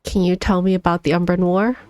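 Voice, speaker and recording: A woman streaming a game, with a slightly low voice. She reads dialogue aloud in a casual way, sometimes tripping over words, and the sound is close and dry. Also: little pitch movement, fairly quick, a little mellow, dry recording.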